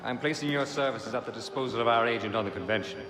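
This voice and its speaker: A man's voice: medium pitch, fast, natural voice. A man playing a commanding grandmaster of a secret order, speaking with formal, ceremonious authority in a video game. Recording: echoing hall with music underneath.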